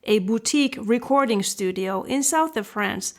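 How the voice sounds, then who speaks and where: A woman's voice: on the high side, animated, normal speed. A woman with a Finnish accent hosting a podcast, introducing the episode and chatting with a guest in her home. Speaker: podcast host. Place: her house. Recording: roomy echo.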